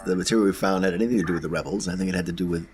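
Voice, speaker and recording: A man with a deep voice takes part in a tabletop game. He speaks casually in character, making it up as he goes, over noticeable noise. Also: racing, a little mellow. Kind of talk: casual in-character improvised role-play.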